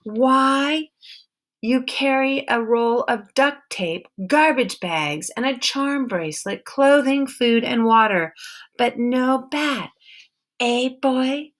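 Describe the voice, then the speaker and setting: A woman reading the part of an eccentric, sharp-tongued middle-aged woman with a wooden leg, who probes and mocks as she speaks. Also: sits high, slow, pitched above the performer's own voice. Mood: probing. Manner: mocking, probing, sharp-tongued.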